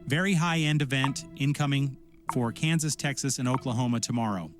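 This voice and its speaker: A man's voice: mid-range voice, a little fast. A man giving an analytical, off-the-cuff forecast of severe weather in technical language. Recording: music clearly behind the voice. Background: music.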